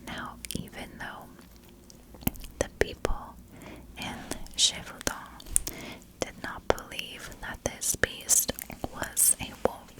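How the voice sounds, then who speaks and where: A woman narrating a story as ASMR in a soft, unvoiced whisper, speaking deliberately in short phrases. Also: rough recording. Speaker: ASMR storyteller, channel host.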